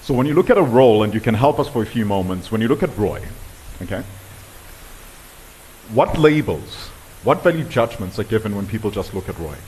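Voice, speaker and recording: A man's voice: on the low side, very animated, fairly quick, darkish tone, slight background noise, low-quality recording. A man giving a conference talk, making firm, emphatic points to the audience. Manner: emphatic, assertive statements. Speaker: diversity workshop presenter.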